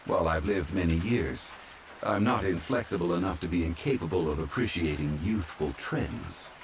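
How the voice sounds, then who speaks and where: Guy doing a deep calm ancient god gentleman from a fantasy game, super polite n formal, male asmr, rain in the background. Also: moderate pace, slight background noise, low-quality recording. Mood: calm, courteous. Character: calm, courtly ancient male former god, old-fashioned and unhurried. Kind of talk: soft ASMR roleplay, fantasy game character. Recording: steady rain sound over the voice. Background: rain falling.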